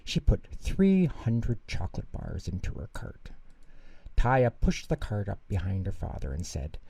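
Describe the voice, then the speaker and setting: A man reading a children's bedtime story aloud in an animated narrating style. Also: on the low side, measured pace, creaky, breathy edge. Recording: roomy echo, distant mic.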